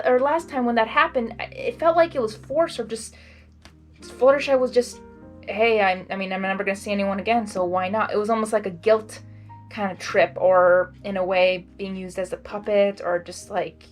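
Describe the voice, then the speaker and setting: High-voiced woman reading and reacting. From Hungary. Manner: expressive, rambling asides. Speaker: fan fiction reader and commentator. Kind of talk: blind reading with casual reaction commentary.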